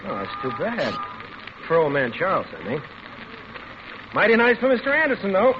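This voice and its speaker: A man's voice: high-pitched, very animated, normal speed. An actor playing a cheerful, teasing young motorcycle policeman in an old radio drama, with a jaunty, breezy manner. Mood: friendly. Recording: muffled, noisy old radio recording.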